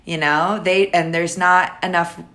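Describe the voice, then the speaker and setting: Woman, 30s, raspy low alto, loose chatty storyteller on a podcast. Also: steady tone, unhurried, a bit bright. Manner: rambling, many fillers and restarts. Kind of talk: casual podcast conversation.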